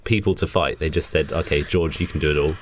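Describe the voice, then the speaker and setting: A man's voice: rumbling low, steady tone, quick pace, a little hiss or hum. A man co-hosting a comedy podcast, playful and mock-earnest in casual improvised chat.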